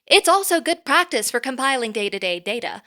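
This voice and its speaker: A woman's voice: sits high, expressive, a little fast, bright, forceful tone. A woman playing a poised female boss in an audio drama, speaking formally and precisely as she earnestly interviews an applicant. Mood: composed, explanatory. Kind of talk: audio drama acting, conversational.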